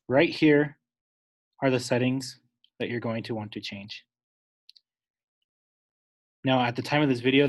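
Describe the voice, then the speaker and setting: A man giving an unscripted, instructional step-by-step software tutorial, talking wordily and correcting himself as he goes. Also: mid-range voice, rough recording. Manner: instructional, wordy, with self-corrections.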